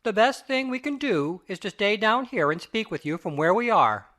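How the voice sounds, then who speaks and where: A man playing a sober, good-natured gentleman lawyer in a dramatic audiobook, speaking in a formal, earnest manner. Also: high voice, big pitch swings, normal speed, full character voice.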